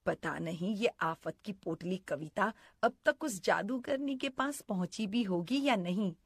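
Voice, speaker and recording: A woman playing a cruel, scheming stepmother in an animated story, scolding or putting on sweetness. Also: mid-range voice, a little slow, husky, no room sound, studio quality. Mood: plotting. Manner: scolding, plotting, falsely sweet. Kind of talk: animated story character acting.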